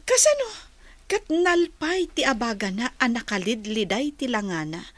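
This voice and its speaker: An actress with an Ilocano accent playing a nosy, excitable woman servant who gossips. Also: on the high side, unhurried, dry recording. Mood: gossipy. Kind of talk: radio drama acting.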